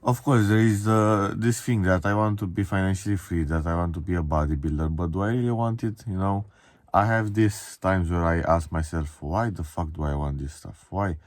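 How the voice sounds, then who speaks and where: Very deep-voiced young Romanian man. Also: moderate pace, dry recording. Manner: candid, reflective, swearing. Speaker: vlogger. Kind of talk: casual confessional monologue to camera.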